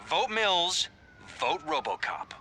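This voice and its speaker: Sharp, forceful campaigning politician. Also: male voice, high voice, animated, slow pace, a little hiss or hum, exaggerated voice. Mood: assertive. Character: forceful male politician making a campaign promise.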